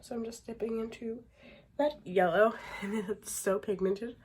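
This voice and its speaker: A young woman talks slowly through a casual makeup tutorial over faint background noise. Her voice is slightly raspy, as she is getting over being sick, and she often murmurs and trails off while she works. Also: fairly high pitch, darkish tone. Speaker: beauty vlogger.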